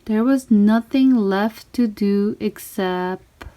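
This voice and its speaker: A woman's voice: mid-range voice, slow, dark tone. A woman reading a children's story aloud, expressively acting out characters and sound words. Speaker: storybook reader.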